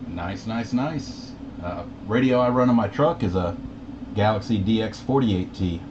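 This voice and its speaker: Male CB operator, low-pitched, casual banter, heard through a dull radio channel with clear noise. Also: a little slow. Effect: CB radio transmission. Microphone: CB radio microphone, heard through receiver. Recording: muffled CB radio reception with static.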